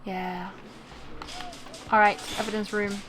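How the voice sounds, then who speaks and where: A woman's voice: mid-range voice, level tone, slow, fairly bright tone. Girl doing casual game commentary, mixed american-british accent, pretty noisy audio. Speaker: gaming video host. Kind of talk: casual game playthrough commentary, reading game text aloud.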